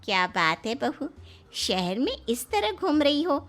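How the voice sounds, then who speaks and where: A woman's voice: high voice, very animated, measured pace, noisy background. A woman voicing a nosy, astonished older aunty in a cartoon moral story, inquisitive and judgmental. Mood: disapproving.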